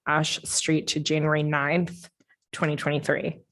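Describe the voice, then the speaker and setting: A woman's voice: deep voice, flat delivery, measured pace, fairly bright tone. A woman speaking formally as a commissioner at a public meeting, in the set wording of procedure. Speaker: commissioner making a motion.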